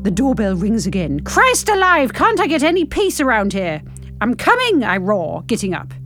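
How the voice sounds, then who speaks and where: A woman playing a grumpy, impatient, sardonic older woman, telling her story in the first person in a wry, expressive way. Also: mid-range voice, moderate pace, faint music behind, lightly acted. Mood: exasperated, shocked.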